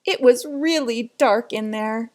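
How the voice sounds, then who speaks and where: A woman's voice: on the high side, slow. A woman reading a children's picture book aloud in a dramatic, suspenseful way, drawing out words. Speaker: storybook reader.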